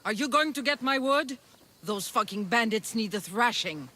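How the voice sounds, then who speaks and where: A woman playing a brusque, demanding craftswoman in a video game, speaking gruffly with a Greek accent. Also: on the high side, lively intonation, normal speed, pushed and bright, slight background noise. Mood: gruff, businesslike. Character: brusque, impatient, foul-mouthed woman bowmaker. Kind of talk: scripted video game dialogue. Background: background music.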